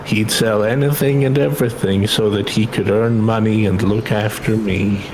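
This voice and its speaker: Man voicing a low, humble grieving parent. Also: steady tone, close to an everyday voice, low-quality recording. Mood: sorrowful. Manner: halting, apologetic, polite.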